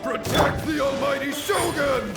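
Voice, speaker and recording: A man's voice: very high-pitched, a little slow. A man playing a hearty, devoted samurai soldier in a video game, stirring, earnest and formal. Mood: rallying. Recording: echoing voice under game music. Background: game music.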